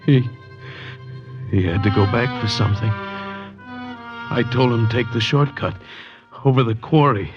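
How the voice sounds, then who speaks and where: Man playing guilt-ridden painter, medium pitch, halting emotional delivery, 1940s radio acting, dull old recording. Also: music in the background, lightly acted. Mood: drugged, halting. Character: troubled male painter, tense and guilt-ridden.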